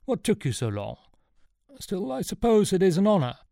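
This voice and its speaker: Guy doing an old eccentric professor for a storybook, higher pitched, theatrical and quaintly grand. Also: huge pitch swings, normal speed, gravelly, dark tone, dry recording, clean studio sound, exaggerated voice. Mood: grand reverence. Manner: theatrical, old-fashioned phrasing. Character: elderly eccentric professor, former adventurer, grandly archaic.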